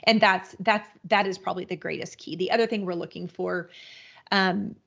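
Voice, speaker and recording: A woman's voice: fairly low pitch, fairly even intonation, normal speed, gravelly, fairly bright tone. A woman guest on a podcast, explaining her business in a rambling, casual way with many restarts and fillers. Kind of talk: casual interview answers.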